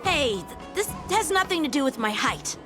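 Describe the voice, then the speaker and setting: A woman's voice: high voice, big pitch swings, moderate pace. Earnest young boy knight over music. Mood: indignant. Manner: earnest, formal, conscientious. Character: earnest, dutiful teenage boy knight lieutenant. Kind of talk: acted game story dialogue.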